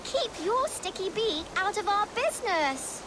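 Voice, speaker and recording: A very high, childlike English street girl, cheeky and defiant. She talks in sassy slang like a game character, with clear outdoor ambience behind her. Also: lively intonation, measured pace, background noise. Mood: cocky. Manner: sassy, colourful slangy banter. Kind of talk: video game cutscene acting.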